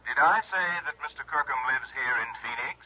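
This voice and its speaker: A man's voice: mid-range voice, brisk, pushed and bright, husky. An American actor playing an egotistical, finicky, demanding male insurance boss in a mid-century radio drama. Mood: pompous, insistent. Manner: pompous, demanding, curt. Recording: old radio, muffled, partly telephone sound.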